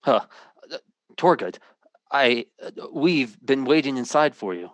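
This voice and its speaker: An actor playing a frightened, protective husband and father in an old-time radio drama, urgent and halting. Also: medium pitch, expressive, no room sound, natural voice. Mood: nervous.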